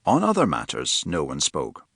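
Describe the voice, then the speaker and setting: A man with a very low voice reads a novel with a measured, expressive delivery. His pitch moves in a lively way rather than staying flat. The studio sound is dry, close and free of room echo. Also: gravelly, slightly rough. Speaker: audiobook narrator. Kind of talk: scripted narration.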